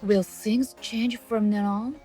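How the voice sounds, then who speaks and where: A woman playing an earnest, soft-hearted young gatekeeper in a radio drama, speaking hesitantly. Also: medium pitch, fairly even intonation, slow, faint music behind, lightly acted. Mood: troubled.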